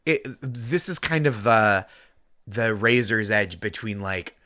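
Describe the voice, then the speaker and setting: A man with a light Massachusetts accent chats on a podcast with animated energy and frequent laughs. His voice sits slightly low but rings bright and forward. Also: slow pace. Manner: animated, chatty, laughs often. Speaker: podcast guest co-host. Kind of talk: casual chat.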